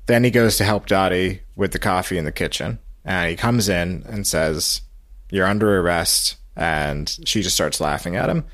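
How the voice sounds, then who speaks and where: A man giving a casual, halting, meandering storytelling recap on a podcast. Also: low voice, a bit bright, no echo. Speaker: podcast guest recapping a radio show.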